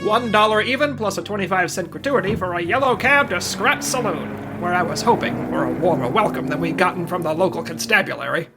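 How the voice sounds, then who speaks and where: Man playing animated high-pitched wisecracking radio private eye. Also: a little fast, few pauses, over loud music. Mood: wry narrating. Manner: wry, punchy noir narration and banter. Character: wisecracking 1940s hardboiled insurance investigator, radio detective. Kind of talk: old-time radio detective drama.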